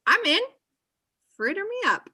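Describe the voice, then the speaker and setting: A woman's voice: very high voice, very animated, a little slow, sharp tone, full character voice. A woman in her thirties playing a blunt, chatty female friend in a casual table read over a video call. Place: indoor room on a video call.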